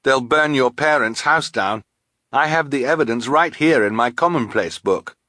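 A man's voice: medium pitch, moderate pace, sharp tone, dry recording, voice pushed up, close to an everyday voice. A man voicing an earnest, urgent boy in an audiobook story. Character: earnest, urgent boy warning of villains, Quigley.

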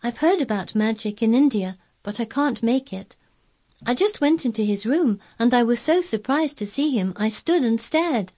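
A woman's voice: fairly high pitch, expressive, moderate pace, mellow, slightly rough. A woman voicing a stubborn, self-possessed young girl who speaks firmly and plainly in a storybook reading. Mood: earnest, curious. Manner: firm, plain-spoken, earnest.

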